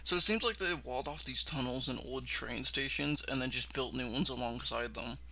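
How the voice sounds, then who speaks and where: A man's voice: medium pitch, brisk. A man giving informal, off-the-cuff reaction commentary, slangy and prone to swearing. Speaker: reaction video host. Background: loud music from the video being watched.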